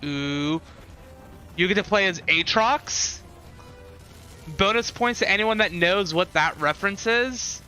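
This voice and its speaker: A man with a very bright, forward voice that sits slightly high. He gives casual live commentary on what he watches, rambling and thinking aloud. Faint music plays behind him. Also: lively intonation, slow, long pauses, rough recording. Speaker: live streamer. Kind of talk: casual livestream commentary and reaction. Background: game showcase trailer audio and music.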